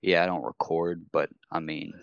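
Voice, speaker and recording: A young man talking in a hesitant, halting way as a guest in a casual chat. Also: sits low, level tone, creaky, smooth, no room sound, muffled recording. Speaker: guest rapper.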